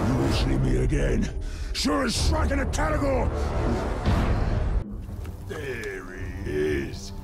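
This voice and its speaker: A man voicing a brutish, treacherous, taunting troll warlord in a video game, with a gravelly, theatrical delivery. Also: medium pitch, exaggerated voice. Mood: gloating menace. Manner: theatrical, gloating, swinging into shouts. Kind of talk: video game cutscene acting. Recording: game music loud behind.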